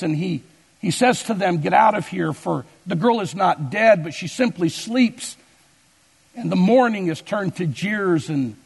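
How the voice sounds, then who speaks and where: A man preaching in a fairly high, gravelly voice with a husky edge. He leans on key words and stretches them out slowly for emphasis. His voice rings out with a long reverb, as if in a large church sanctuary. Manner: deliberate preaching with emphatic slow stresses. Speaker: pastor. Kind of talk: sermon.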